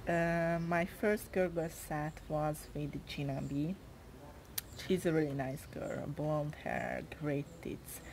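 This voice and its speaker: A young woman with a Hungarian accent speaks English, her second language, in a soft, hesitant way, pausing often and reaching for words. Her voice sits fairly low for a woman, and she talks at an unhurried, drawn-out pace. A faint noise runs behind her. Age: 20s. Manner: hesitant, with frequent pauses and fillers. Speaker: interviewee. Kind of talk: casual interview answers.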